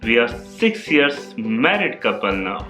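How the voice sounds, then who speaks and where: A man speaks slowly and deliberately, with an animated, swinging pitch and a bright tone. The room is echoey, and soft guitar plays underneath. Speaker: interviewee. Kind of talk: casual interview. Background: guitar music.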